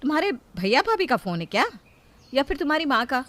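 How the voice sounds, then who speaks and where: A woman voicing a caring, anxious elder sister-in-law in a moral story, gentle and pleading. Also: sits high, big pitch swings, brisk, voice pushed up. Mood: curious. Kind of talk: Hindi moral story character dialogue.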